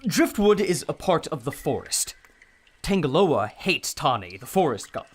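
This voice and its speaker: A jittery kidnapped scientist. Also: male voice, a little high, big pitch swings, unhurried. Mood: anxious. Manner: anxious, stammering. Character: nervous kidnapped male scientist.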